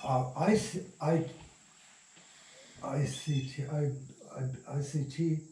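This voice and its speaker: A man's voice: on the high side, fairly even intonation, slow pace, mellow. An older man being interviewed, telling anecdotes in a casual, rambling way. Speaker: interview guest. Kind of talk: casual storytelling reminiscence. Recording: roomy echo, distant from mic.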